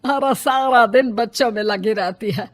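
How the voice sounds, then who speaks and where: Older mother-in-law for cartoon played by a woman: greedy, sarcastic, scolding, fairly high. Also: unhurried.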